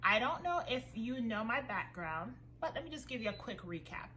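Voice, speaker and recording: A woman's voice: on the high side, very animated, normal speed, pushed and bright, slight background noise. A young woman from Haiti whose first language is French, a homeschooling mother giving an upbeat, friendly review of her curriculum in a casual vlog.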